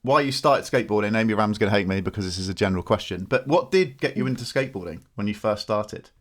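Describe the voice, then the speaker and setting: A man's voice: fairly low pitch, lively intonation, fast. A man hosting a podcast, asking questions in casual, conversational interview style.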